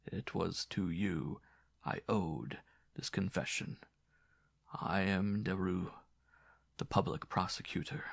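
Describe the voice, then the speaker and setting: A man voicing a dying old former prosecutor who confesses his guilt in a grave, halting, formal way. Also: deep voice, creaky, no echo, clean studio sound, full character voice. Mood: weary confession. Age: older.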